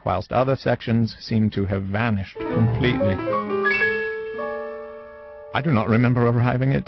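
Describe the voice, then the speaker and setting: An actor playing a wistful man who recalls his youth in measured, literary first-person dramatic narration. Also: on the low side, close to an everyday voice. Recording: dull lo-fi audio with music. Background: music.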